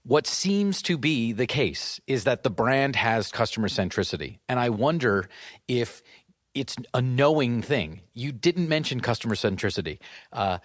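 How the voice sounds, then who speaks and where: A man with a mid-range voice hosts an interview, asking questions in a chatty way and reworking them mid-sentence. He is recorded close to the mic in a dry space with no echo. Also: medium pitch. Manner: chatty, rephrases questions, laughs easily. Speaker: podcast host, interviewer.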